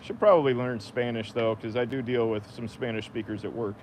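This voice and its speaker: A man's voice: steady tone, brisk, darkish tone. An American man talking casually and unscripted as a livestream host, rambling half to himself while he works. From United States. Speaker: livestream host, DIY woodworker. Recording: noisy workshop, machinery rumble.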